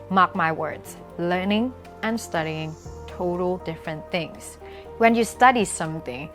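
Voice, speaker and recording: A young adult woman from Thailand, a native Thai speaker, explaining things emphatically to camera as a vlogger. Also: lowish voice. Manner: emphatic, repeats key points. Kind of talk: talking to camera, explanatory vlog with sponsor pitch.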